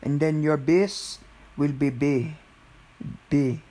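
A man's voice: fairly high pitch, fairly even intonation, slow pace, mellow. A man chatting casually and jokingly, rambling along in a put-on Filipino accent. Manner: jokey, often breaking into giggles.

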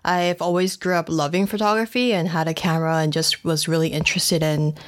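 A woman in her thirties, a guest talking about her own background in a casual interview, relaxed and chatty with easy fillers. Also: deep voice, fairly quick. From Taiwan and the United States. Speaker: guest, food photographer.

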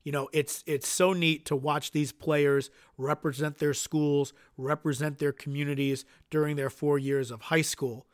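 A man's voice: on the high side, flat delivery, measured pace, few pauses, dry recording, studio quality. A man hosting a solo podcast, earnest and dotted with uhs, sounding nasal from a cold. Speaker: podcast host.

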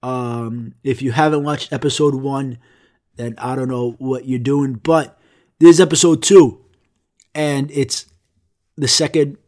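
A young man hosting his own podcast while sick, rambling casually with lots of swearing and deadpan jokes. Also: mid-range voice, measured pace. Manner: profane, rambling, deadpan jokey. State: sick, congested. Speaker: podcast host.

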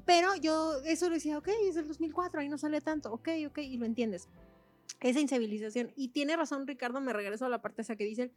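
A woman's voice: high-pitched, quick pace, faint music behind. A young woman with a Mexican accent, co-hosting a casual book chat, slangy and chatty with laughs between words. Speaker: podcast co-host.

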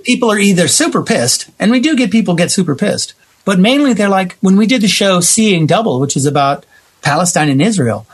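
A man with a husky voice talking conversationally as a theater maker being interviewed, emphatic and given to acting out what people said. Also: sits high, very animated, brisk. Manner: emphatic, acts out quoted reactions. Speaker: theater playwright and director, interview guest. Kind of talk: animated conversational storytelling.

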